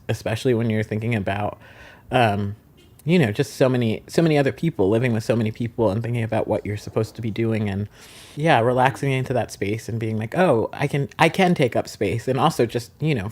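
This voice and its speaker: A man's voice: mid-range voice, darkish tone. A man talking casually and personally in an interview. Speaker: resident of a collective house, interviewee.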